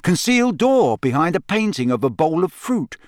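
A man voicing a cheeky, boisterous teenage prankster boy, loud and high above his own voice in a storybook reading. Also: big pitch swings, normal speed, dry recording, clean studio sound. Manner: boisterous, teasing.

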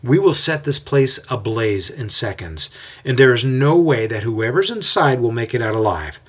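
A man's voice: mid-range voice, lively intonation, moderate pace, husky. Jaden, a man voicing a scheming mob leader. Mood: menacing. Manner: raised, calculated and coolly menacing. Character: scheming smooth-talking male mob leader.

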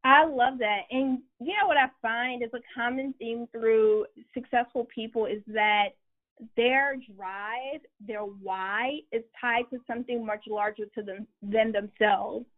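A woman's voice: on the high side, steady tone, unhurried, phone-quality sound. A woman hosting a conversational interview in an encouraging, affirming way. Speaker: interviewer, host.

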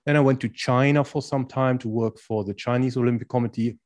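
German-accented man, calm and explanatory. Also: mid-range voice, brisk. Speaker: guest, strength and conditioning coach. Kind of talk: podcast interview answers in English.

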